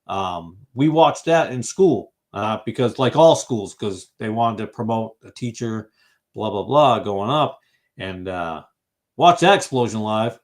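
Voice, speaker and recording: A man's voice: lowish voice, animated, a little slow. A man in his fifties from Massachusetts hosting a casual online fellowship chat, rambling and thinking aloud with lots of fillers while he responds to viewers' comments. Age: 50s. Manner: reacts to viewers' comments as he goes. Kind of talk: casual livestream group conversation.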